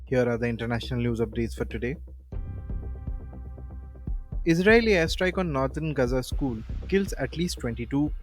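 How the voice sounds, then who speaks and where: A man's voice: mid-range voice, animated, normal speed, pauses often, faint music behind. A man with an Indian accent reading scripted news bulletins as a radio presenter.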